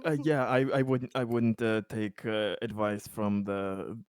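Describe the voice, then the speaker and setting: A man chatting casually as a player in a tabletop roleplaying game, speaking in a halting, hesitant way. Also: lowish voice, steady tone, darkish tone, clean tone, no echo. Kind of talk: casual, joking game chat.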